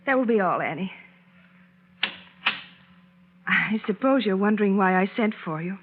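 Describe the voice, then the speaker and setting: An actress playing a scheming, possessive, suspicious wife in a 1940s radio drama, cold and threatening. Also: female voice, medium pitch, expressive, fast talker, noisy background, lightly acted. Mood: cold, firm. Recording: tinny old 1940s radio broadcast.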